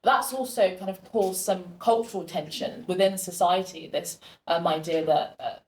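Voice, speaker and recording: A woman moderating a panel discussion, asking a question with many pauses and fillers. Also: fairly low pitch, echoey room, rough recording.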